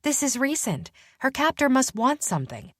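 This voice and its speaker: A woman voicing a spirited retired female detective who talks in quick, excited, insistent bursts. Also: high-pitched, no room sound, studio quality, pitched above the performer's own voice, full character voice. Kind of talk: audiobook character dialogue.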